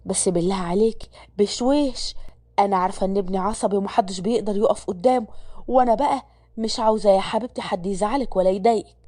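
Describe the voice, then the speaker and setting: A woman voicing an older, doting mother with an Egyptian accent, speaking softly and coaxingly. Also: mid-range voice, fast, no echo, voice pushed down, close to an everyday voice. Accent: Egyptian Arabic.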